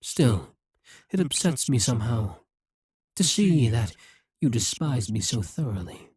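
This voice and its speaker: A performer voicing a haughty, wry demon ruler in an ASMR roleplay, speaking softly and huskily in a slow, condescending, possessive way. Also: medium pitch, big pitch swings, full character voice. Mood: musing, wounded. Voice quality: soft, breathy, husky.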